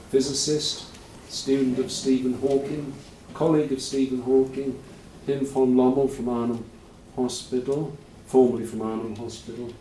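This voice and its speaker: A man's voice: medium pitch, mellow, slight background noise. Chatty male conference host, distant in hall. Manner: chatty, rambling, self-correcting with dry asides. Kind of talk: informal conference introduction. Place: lecture hall.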